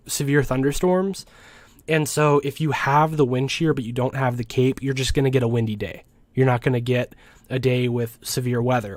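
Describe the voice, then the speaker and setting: A man's voice: mid-range voice, no room sound. A young adult man giving an informal weather explainer as a forecast host, conversational and a little hesitant, often correcting himself as he goes. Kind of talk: informal explainer commentary over weather maps.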